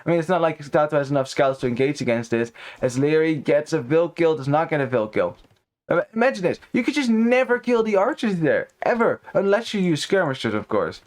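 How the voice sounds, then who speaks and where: A man's voice: a little high, big pitch swings, brisk. A young Dutch man in his twenties casting a strategy game live, chatting with viewers and rambling with many restarts. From Netherlands. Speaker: esports caster. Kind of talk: live game commentary with chat banter.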